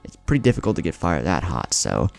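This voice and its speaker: Man playing a brash young ninja boy, gravelly. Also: low voice, sing-song, fast, faint music behind, heavily acted. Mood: urgent. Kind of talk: narrated fan-fiction story.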